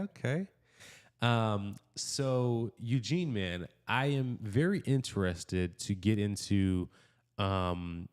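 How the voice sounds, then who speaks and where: A man hosting a podcast interview in a low voice and at a slow, easy pace. He keeps an upbeat tone and tends to repeat his affirmations as he guides the guest. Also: a little mellow. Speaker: podcast host.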